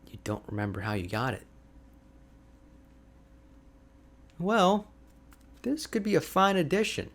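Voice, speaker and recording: A man playing an energetic, friendly young ice mage mentor in an ASMR roleplay, talking to the listener in an animated, informal, chatty way. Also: sits high, normal speed, full character voice. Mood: amazed. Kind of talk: ASMR roleplay audio drama, addressing the listener.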